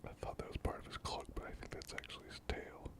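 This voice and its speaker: A hobby host whispers an ASMR commentary. The delivery is unvoiced and breathy, murmured as if thinking aloud, with an unhurried flow. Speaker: hobby painting channel host.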